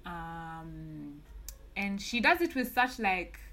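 A young woman talking as a podcast guest, earnest and reflective, often pausing to find her words. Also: on the low side, animated, fairly bright tone. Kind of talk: casual podcast conversation.

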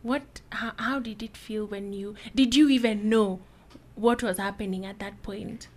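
A woman hosting a talk show, asking gentle, probing questions in a conversational interview. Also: mid-range voice, steady tone, unhurried. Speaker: host.

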